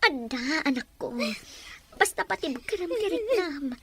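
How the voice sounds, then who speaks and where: Protective middle-aged Ilocano mother for radio melodrama, played by an actress, anxious, insistent, very high-pitched. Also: very animated, measured pace, background noise. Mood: consoling, sorrowful. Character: worried, protective mother and aunt, gentle but insistent.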